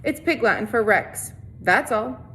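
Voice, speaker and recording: A woman's voice: on the high side, expressive, measured pace, a bit bright. A small teenage gang leader from a storybook, read out by a woman, casual and cajoling. He pushes to get his way. There is faint noise behind the voice.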